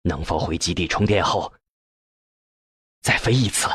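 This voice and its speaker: Man voicing a hushed, husky old professor. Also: low voice, no echo, studio quality, pitched below the performer's own voice, heavily acted. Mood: intent. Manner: hushed, slow, muttering. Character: weary, stubbornly convinced older male professor. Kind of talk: audiobook character dialogue.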